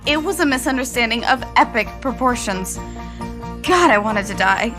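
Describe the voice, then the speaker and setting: A woman's voice: on the high side, animated, normal speed, lightly acted. An actress playing an awkward teenage outcast girl, wry and self-deprecating. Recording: TV clip with loud music under it.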